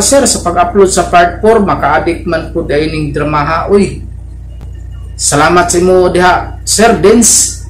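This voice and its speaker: Man, Bisaya accent, higher pitch, casual host chat, echoey room, faint noise. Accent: Filipino, Bisaya. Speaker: host of an online radio drama channel. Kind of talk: casual vlog chat, reading listener comments. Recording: roomy echo, faint background noise.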